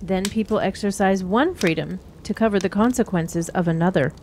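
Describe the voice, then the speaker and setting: A woman playing a cryptic, sorrowful wise woman who counsels youths in measured riddles in a fantasy radio drama. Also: medium pitch, a little mellow, slight background noise, natural voice. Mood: knowing, grave. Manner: measured, riddling, archaic storyteller phrasing.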